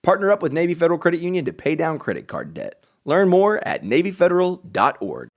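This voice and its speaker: A man's voice: a little high, wildly swooping pitch, fast talker, no echo. A male podcast host reading a sponsor message and welcoming listeners in an upbeat, announcer-like way. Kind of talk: podcast intro and sponsor read.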